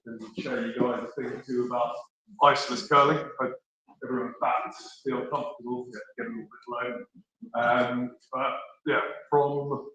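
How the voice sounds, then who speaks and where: A man's voice: medium pitch, a bit bright. A man from South Africa giving a short, earnest speech at an awards event, loose and full of fillers. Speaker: award guest speaker. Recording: echoey hall.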